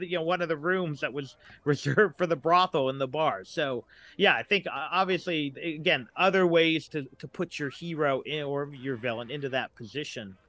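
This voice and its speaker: A man's voice: on the high side, pushed and bright. A middle-aged man with an American accent talking casually as a podcast guest, thinking aloud in a rambling way.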